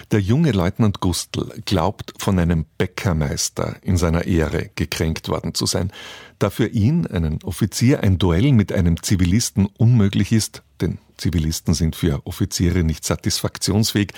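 A man reading a scripted, measured literary introduction as a radio presenter. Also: deep voice, gravelly, a little mellow, breathy edge, no room sound, clean studio sound.

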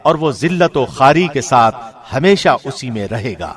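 A man reading a scripture translation from a script in a formal, solemn, measured manner. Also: medium pitch, lively intonation. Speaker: translation reader, radio host.

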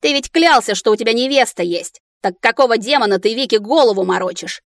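A woman voicing a hot-headed young male mage in an audiobook, loud, indignant and blustering. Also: fairly high pitch, animated, brisk, no room sound, studio quality, natural voice. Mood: furious. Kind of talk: audiobook character acting.

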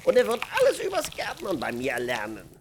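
An actor playing a kindly, jovial old gardener in a radio play, with a creaky, aged voice and a gently teasing, avuncular manner. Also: male voice, a little high, wildly swooping pitch, brisk, background noise. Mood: friendly, explaining. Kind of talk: German radio-play acting.

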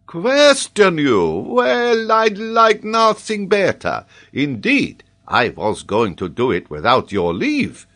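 A pompous, middle-aged geographer played by a male narrator in a storybook tale. The voice is pushed high and swoops widely, with emphatic, exclamatory, self-important professorial delivery. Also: big pitch swings, takes it slowly, few pauses, a bit bright, exaggerated voice. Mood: delighted, eager.

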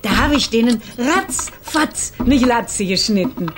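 A woman's voice: on the high side, expressive, slow, noisy background. An actress playing a blunt, motherly older woman with a Rhineland German accent in a radio play, folksy and down-to-earth. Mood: brisk, comforting.

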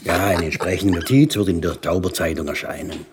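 An actor playing a calculating, cynical government official with measured bureaucratic phrasing in a radio drama. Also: male voice, deep voice, natural voice. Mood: breezily cynical. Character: smooth, calculating government fixer, male, cynical schemer. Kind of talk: German radio crime drama acting.

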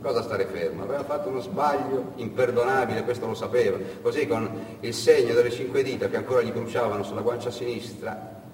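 A male storyteller delivers an expressive, dramatic stage narration. He is deliberate and leans on repetition. The recording is distant, very echoey and slightly noisy. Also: mid-range voice. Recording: echoey hall from far off, noisy.

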